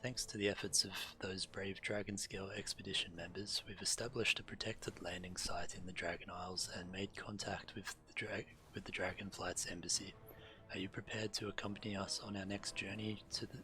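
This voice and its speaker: A man's voice: sits low, little pitch movement, brisk, pushed and bright, a little hiss or hum, poor audio. A man streaming a video game, reading quest text aloud over game music.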